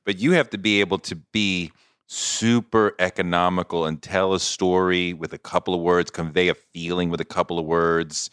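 Deep, crisp male podcast host. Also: fairly even intonation, moderate pace, fairly bright tone, no room sound, studio quality. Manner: thoughtful, probing questions. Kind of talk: casual interview.

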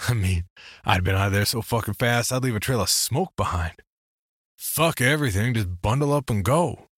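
A man voicing an incredulous, excitable younger man in story dialogue, exclaiming and speaking casually. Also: on the low side, moderate pace, husky, no room sound, clean studio sound, pitched above the performer's own voice. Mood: exasperated. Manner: exclaiming, sputtering, casual swearing.

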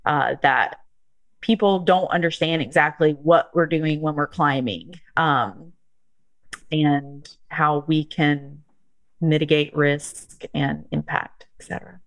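Chatty Southern woman, lowish voice. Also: slow, no echo. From southern United States. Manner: chatty, laughs often, lots of um and like. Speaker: guest, strength coach. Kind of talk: casual podcast interview.

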